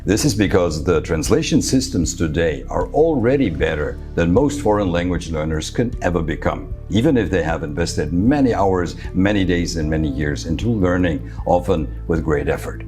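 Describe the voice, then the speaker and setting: Male presenter, native Serbo-Croatian speaker, very low voice, measured scripted delivery, faint music under it. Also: lively intonation, a little mellow. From Serbia. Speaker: futurist presenter. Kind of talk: scripted talk to camera.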